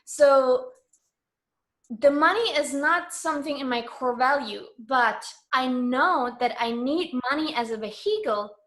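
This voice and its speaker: A woman's voice: sits high, measured pace, a bit bright. A woman speaking as a guest in a casual radio interview, earnest, decisive and frank in her manner.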